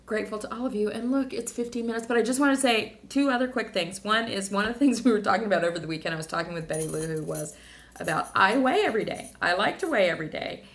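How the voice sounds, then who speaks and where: A woman sharing a rambling, emotional personal video diary, a little hoarse from talking. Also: medium pitch. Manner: rambling, emotional, many fillers and restarts. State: slightly hoarse. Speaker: vlogger.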